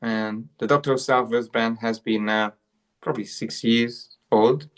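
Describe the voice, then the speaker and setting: A man's voice: medium pitch, fairly even intonation, unhurried, clean tone. A man in his forties from Hungary, a coach telling his life story as an interview guest, with frequent fillers and repeated words. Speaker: guest, self-worth coach.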